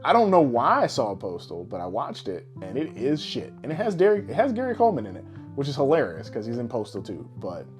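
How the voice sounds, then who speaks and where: A man's voice: medium pitch, wildly swooping pitch, brisk, faint music behind. A man co-hosting a podcast in casual, blunt, slangy chat with plenty of swearing. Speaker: podcast co-host. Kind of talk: casual podcast chat about games and movies.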